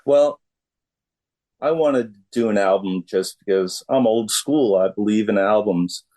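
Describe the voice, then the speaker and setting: A middle-aged man answering as a musician guest in a casual interview, laid-back, halting and plain-spoken. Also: fairly low pitch, warm tone.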